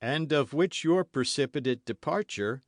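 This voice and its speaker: A man's voice: medium pitch, lively intonation, moderate pace, no room sound, studio quality, voice pushed up, lightly acted. A man reading aloud, voicing a frightened innkeeper who pleads pitifully for mercy. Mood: pleading. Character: trembling, pleading middle-aged male innkeeper.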